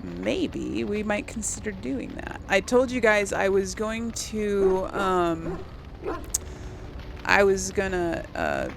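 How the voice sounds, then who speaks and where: Creaky-voiced woman chatting through a game. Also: medium pitch, slow pace, background noise. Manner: rambling, thinking aloud. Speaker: host of a video game let's play. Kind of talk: casual gameplay commentary addressed to viewers.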